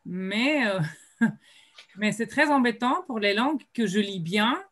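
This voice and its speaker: Lady on a video call, talks real slow, stops to think all the time. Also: fairly high pitch, animated, a bit bright. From Slovenia. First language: Slovene. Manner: hesitant, many drawn-out "euh" pauses. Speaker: writer at a colloquium panel. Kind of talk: panel discussion remarks.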